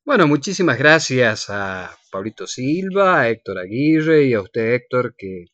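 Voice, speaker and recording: A man's voice: medium pitch, big pitch swings, a little slow, a bit bright, dry recording, lo-fi sound. An Argentine man hosting a radio show with casual, teasing banter. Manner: jokey, teasing. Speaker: radio host and director. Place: radio studio.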